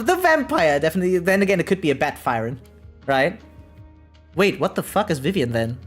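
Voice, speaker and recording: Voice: medium pitch, slightly rough. A streamer in their thirties giving casual live commentary, rambling and often tagging sentences with "right?". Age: 30s. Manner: rambling, keeps adding "right?". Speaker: variety game streamer. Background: faint music.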